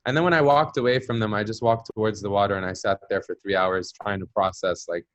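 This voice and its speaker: A man's voice: lowish voice, fairly even intonation, fast talker, no room sound, muffled recording. A man with an American accent, telling a personal story as an interview guest, reflective and searching for words with frequent fillers. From Palestinian diaspora. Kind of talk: conversational storytelling.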